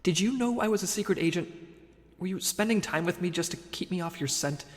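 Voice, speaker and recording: A man's voice: high-pitched, brisk, close to an everyday voice. An actor playing a glum, plucky boy with a plaintive manner in a comedic audio drama. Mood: hurt, glum. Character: glum, plucky kid with secrets, male. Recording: long reverberant hall sound.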